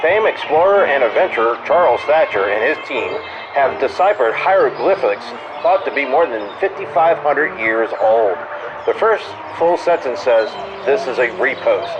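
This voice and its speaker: A man's voice: mid-range voice, animated, normal speed, noisy background, close to an everyday voice. A man playing a wisecracking jungle cruise radio host, reading mock-official comedy bulletins in old-time announcer style with dry delivery. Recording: muffled lo-fi radio sound over music.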